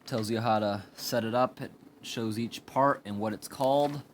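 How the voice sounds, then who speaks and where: A man giving an informal hands-on product review in a plain, explanatory way with halting phrases, recorded indoors on a handheld camera. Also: medium pitch. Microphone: handheld camera or phone microphone. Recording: amateur recording with background room noise.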